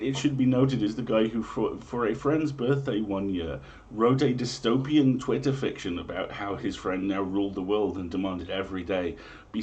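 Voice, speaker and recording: A man's voice: fairly low pitch, a little mellow, dull audio. Theatrical man reading to an audience. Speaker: livestream host and reader. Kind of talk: dramatic comic reading in mock-medieval English, then casual chat.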